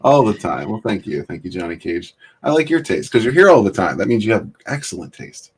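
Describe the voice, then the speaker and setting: A man's voice: fairly low pitch, big pitch swings, brisk, a little hiss or hum. A man hosting a casual livestream chat, greeting viewers by name and laughing often. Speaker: livestream host.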